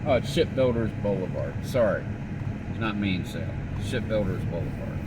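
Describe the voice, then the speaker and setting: A man's voice: fairly low pitch, moderate pace. A man from Delaware talking casually while he drives, joking with the drivers and cyclists around him. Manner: talks to other drivers and cyclists, lively and joking. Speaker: vlogger driving. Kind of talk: casual driving chat. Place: inside a car on the road. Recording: distant mic in a noisy car.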